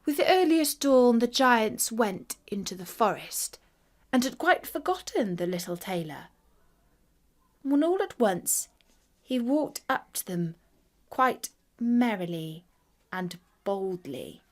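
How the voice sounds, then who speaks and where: A woman narrating a scripted fairy tale for children in a deliberate, expressive bedtime reading. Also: fairly high pitch. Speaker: storyteller.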